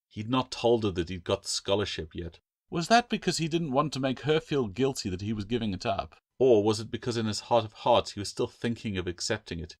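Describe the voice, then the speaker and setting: A man's voice: on the low side, no room sound, studio quality. A man reading a story aloud as scripted audiobook narration. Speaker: author reading own novel.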